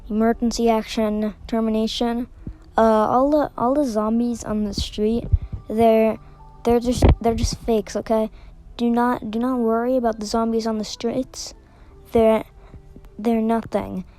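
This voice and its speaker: Girl acting as a hesitant emergency alert lady, highish mellow voice, keeps stumbling and repeating. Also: little pitch movement, soft background music, natural voice, low-quality recording. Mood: halting, reassuring. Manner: halting, repetitive, hesitant. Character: hesitant female emergency announcer issuing strange reassurances. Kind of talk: amateur mock emergency broadcast.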